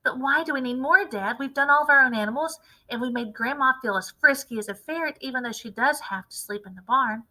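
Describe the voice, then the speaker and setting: A woman's voice: high-pitched, brisk, few pauses, pushed and bright, rough recording. A woman voicing a sensible, hesitant young farm boy in a storybook, questioning and pleading as he tries to object. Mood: puzzled, doubtful. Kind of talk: storybook character read-aloud.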